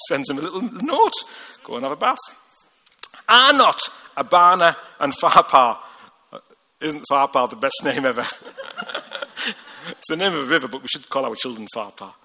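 A male preacher with a Liverpool accent, his voice fairly high and very bright. He reads scripture and jokes with the congregation in a lively, playful way. The sound rings with a long reverb from the hall. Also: moderate pace, long pauses. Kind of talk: sermon with Bible reading.

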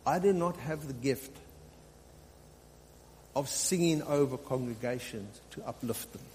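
A man's voice: medium pitch, unhurried, a little mellow. A man preaching as a pastor, exhorting his congregation in an unscripted teaching style and repeating phrases for emphasis. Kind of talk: sermon, extemporaneous teaching. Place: large reverberant room, live preaching. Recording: hall reverb, faint hum and noise.

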